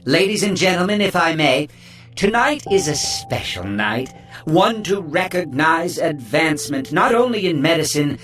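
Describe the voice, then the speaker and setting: A man's voice: on the high side, animated, normal speed, a bit bright, faint music behind. An actor playing a pompous, theatrical villain who speaks like a showman presenter in an audio drama. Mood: grandiose. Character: grandiose, theatrical male villain posing as genial presenter.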